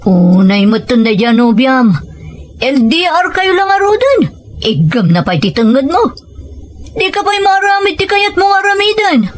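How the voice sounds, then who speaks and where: A woman plays a young Filipino friend in an audio drama, blunt and nagging. The voice is on the high side, with faint music underneath. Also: sing-song, normal speed. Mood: exasperated. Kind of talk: Ilocano audio drama dialogue.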